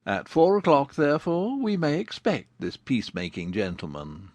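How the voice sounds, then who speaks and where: A man playing a wry, teasing middle-aged husband and father in a dramatized audiobook, measured and drily ironic. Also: medium pitch, huge pitch swings, no room sound.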